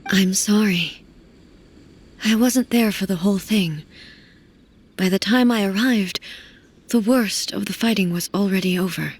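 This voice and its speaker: A woman voicing a stoic, solemn warrior ruler in a fantasy video game, speaking formally and gravely. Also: medium pitch, unhurried, pauses often. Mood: solemn, composed.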